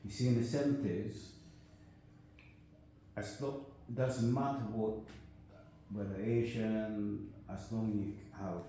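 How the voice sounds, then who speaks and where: A man's voice: fairly low pitch, steady tone, takes it slowly, pauses often, mellow. An older man from Guyana with a Guyanese accent, reflecting in a rambling casual discussion and often asking "you know what I mean?". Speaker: discussion guest. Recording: echoey room, faint noise.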